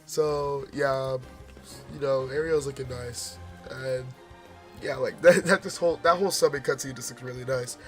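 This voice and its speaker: A man's voice: mid-range voice, fairly even intonation, normal speed, faint music behind. A man losing his voice, forcing it out as he chats casually through gaming trailer commentary, rambling with many fillers and restarts. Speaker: gaming video host.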